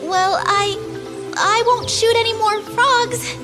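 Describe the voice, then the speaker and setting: A very high, girlish fairy princess. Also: fairly even intonation, slow, music in the background. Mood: pleading. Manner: girlish, emotive, haughty. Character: petulant young fairy princess, proud and naive. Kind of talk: animated video game acting.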